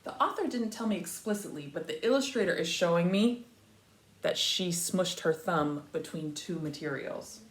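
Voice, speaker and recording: A woman reading a picture book aloud to young children like a teacher, with expressive, emphatic phrasing and explanatory asides. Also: on the low side, normal speed. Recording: echoey room, faint background noise.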